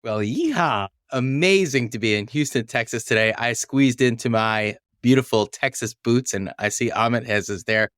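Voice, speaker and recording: A man hosting a podcast, giving the show's introduction in a playful, energetic way. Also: medium pitch, normal speed, few pauses, dry recording, clean studio sound. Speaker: podcast host. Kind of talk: upbeat show introduction.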